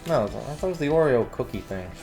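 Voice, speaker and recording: Male sidekick co-host, medium pitch, casual comic banter and absurd riffing, music faintly behind. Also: lively intonation, fast talker, creaky. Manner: joking, riffing with absurd questions.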